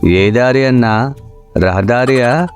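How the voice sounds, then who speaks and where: A man's voice: medium pitch, slow, soft background music, lightly acted. Man playing a cheeky, lazy young village loafer. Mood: flippant. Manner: flippant, mock-dramatic wisecracking. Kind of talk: comic Telugu moral-story voice acting.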